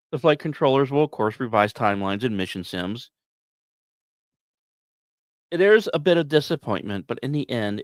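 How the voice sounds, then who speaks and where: A man hosting a podcast, reading scripted narration in a measured, dramatic way with emphatic pauses and casual asides. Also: lowish voice, dry recording, studio quality. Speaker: podcast host.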